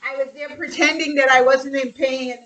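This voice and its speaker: A woman's voice: high voice, fairly even intonation, normal speed, fairly bright tone, highs cut off, slight background noise. A middle-aged woman from Guyana with a Guyanese accent, chatting casually about her childhood and laughing now and then as she tells the stories. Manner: chatty, laughs while reminiscing, repeats phrases. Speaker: host. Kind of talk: casual storytelling monologue.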